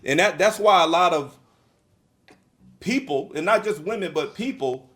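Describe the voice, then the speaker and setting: Blunt, slangy man, fairly high voice. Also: lively intonation, a bit bright. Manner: blunt, emphatic, drawn-out stresses, slangy. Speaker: podcast host. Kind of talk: casual podcast conversation.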